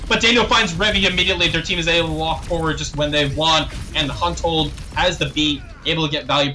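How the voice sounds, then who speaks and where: A young man casting a live esports match play-by-play, slightly hoarse and thirsty, clearing his throat now and then. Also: on the high side. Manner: rapid play-by-play, rising excitement on big plays, frequent throat clearing. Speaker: esports caster.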